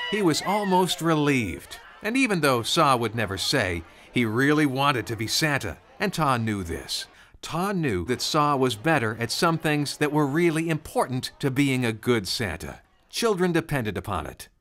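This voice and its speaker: A man narrating a scripted children's story in an expressive, conversational way. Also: medium pitch, measured pace, slight background noise. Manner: conversational, expressive, rhetorical questions.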